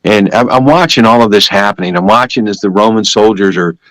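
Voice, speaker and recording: A man's voice: lowish voice, brisk, a bit bright, no echo, rough recording. Older man giving earnest spoken testimony, dry sound. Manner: emphatic, repetitive, conversational storytelling. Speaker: podcast guest giving testimony. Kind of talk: personal religious testimony.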